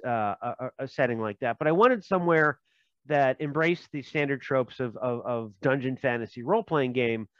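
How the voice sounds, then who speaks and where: Man from Minnesota, halting and joking. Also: medium pitch, fairly bright tone, dry recording, highs cut off. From Minnesota, USA. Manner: halting, many fillers and restarts, joking. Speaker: guest, game designer. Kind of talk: casual interview chat. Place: home.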